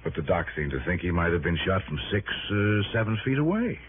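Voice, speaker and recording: An actor in a 1960s radio drama playing a plain-spoken police lieutenant who explains things in a casual, colloquial way. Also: male voice, low voice, very animated, brisk. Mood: doubtful. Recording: muffled, noisy old radio broadcast.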